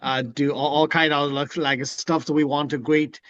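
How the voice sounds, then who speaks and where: A man from Taiwan with a Taiwanese accent, speaking haltingly with many fillers on a video call. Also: on the high side, steady tone, a bit bright, highs cut off. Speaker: webinar attendee.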